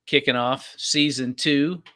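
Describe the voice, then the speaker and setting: A man co-hosting a podcast in a casual, conversational way. His voice sits at a middle pitch, and his manner is informal and folksy. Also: fairly even intonation, slow, sharp tone. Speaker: podcast co-host. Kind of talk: casual chat.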